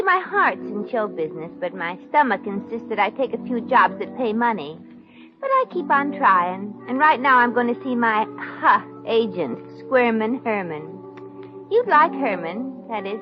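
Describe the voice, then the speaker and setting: A woman's voice: medium pitch, very animated, normal speed, close to an everyday voice. An actress playing a brash, wisecracking, good-hearted showgirl in a 1950s radio comedy, with a sassy, slangy delivery. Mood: breezy, wry. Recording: dull old radio recording, faint music.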